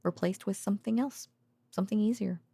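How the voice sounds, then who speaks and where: A woman voicing a tender, weary researcher girlfriend in an intimate ASMR audio roleplay, speaking softly and hesitantly, partly in whispers, close to the microphone. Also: mid-range voice, brisk. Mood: wry, musing. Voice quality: soft, breathy, partly whispered. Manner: hesitant, drowsy, musing, with sighs and soft chuckles.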